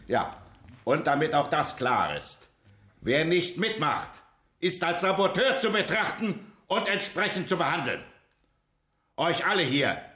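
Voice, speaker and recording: An actor playing a brutal Nazi party official in a radio drama, giving a commanding, threatening speech. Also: male voice, sits high, sharp tone, full character voice. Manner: commanding, menacing oratory. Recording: echoey meeting hall.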